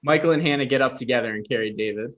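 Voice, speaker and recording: A man's voice: mid-range voice, racing, fairly bright tone, highs cut off. A man giving scripted narration, reading out the stage directions for a table read.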